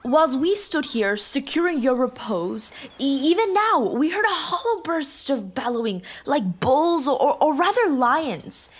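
Woman playing eager royal conspirator, ambitious, raised pitch, theatrical, Shakespearean radio play. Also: big pitch swings, unhurried, hardly any pauses. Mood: feigned alarm.

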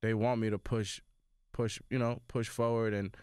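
Laid-back New York man, low voice. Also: level tone, moderate pace, darkish tone, smooth, no room sound, clean studio sound. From Sudan. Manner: laid-back, repeats words while thinking. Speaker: rapper guest. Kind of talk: casual interview. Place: radio studio. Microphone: studio mic.

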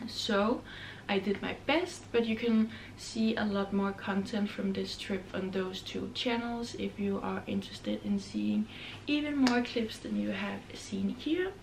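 A young woman vlogging to camera from a hotel room, chatting in a slow, relaxed way. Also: medium pitch, gravelly, slight background noise, rough recording. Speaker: travel vlogger. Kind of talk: casual vlog to camera. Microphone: camera held close or on a stand.

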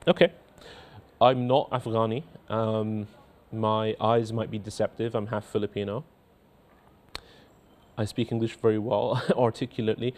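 A man in his thirties with a faint Scottish accent, a cleric in a TV studio reading out and answering viewer letters in a measured, earnest way. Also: on the low side, level tone, darkish tone. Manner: measured, earnest, repeats words when starting sentences. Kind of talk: studio talk show, reading letters aloud. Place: indoor TV studio.

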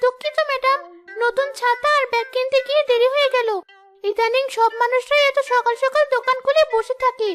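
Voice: extremely high pitch, steady tone, a little fast, a bit bright, faint music behind. A performer voicing a young ghost schoolgirl in a children's cartoon, polite and eager. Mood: apologetic.

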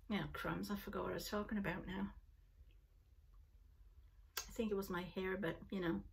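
A middle-aged woman with a Canadian accent chats to her webcam from a home craft room, drifting off topic and laughing often. Her voice sits at an ordinary pitch for a woman. It sounds a little distant from the microphone. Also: creaky. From England, Liverpool. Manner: chatty, digressive, laughs easily. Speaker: knitting podcast host. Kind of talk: casual chat to camera.

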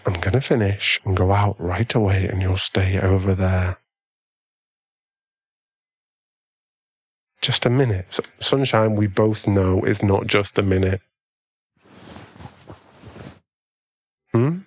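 A man playing a doting, easily swayed millionaire boyfriend in an ASMR roleplay, pleading and halting, with breathy sighs. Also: low-pitched, fairly even intonation, no room sound. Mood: affectionate, firm.

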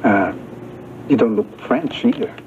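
An actor playing a polite, composed young man in a soap opera, measured and mildly wry. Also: medium pitch, wildly swooping pitch, a little mellow. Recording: muffled, noisy 1980s TV tape.

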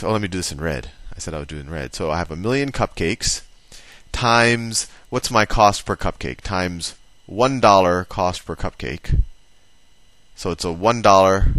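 Low-voiced man teaching casually. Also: fairly bright tone, no echo. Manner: conversational, thinks aloud with restarts. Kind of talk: casual explanatory lesson.

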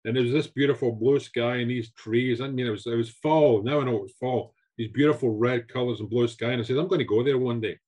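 A man's voice: medium pitch, fast, few pauses, breathy edge. A man in his sixties with a Scottish accent, animatedly telling stories of his career as a guest on a video call from his home. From Scotland. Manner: animated, repeats words, gestures with hands. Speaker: guest chef. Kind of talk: storytelling interview answers. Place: home room with shelves.

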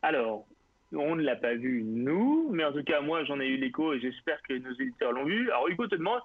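A man hosting a live radio interview, lively and joking, often chiming in. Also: on the high side, a little fast, breathy edge, no room sound, narrow, tinny audio. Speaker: radio show host.

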